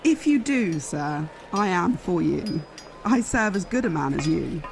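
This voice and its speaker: Creaky, belligerent servant, acted by a woman. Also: on the low side, big pitch swings, measured pace, over music, full character voice. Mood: defiant. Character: belligerent servant spoiling for a fight. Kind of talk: Shakespearean audio drama acting.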